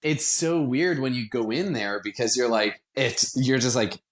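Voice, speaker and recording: Man explaining casually, mid-pitched voice. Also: animated, moderate pace. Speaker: guest, actor and theater producer.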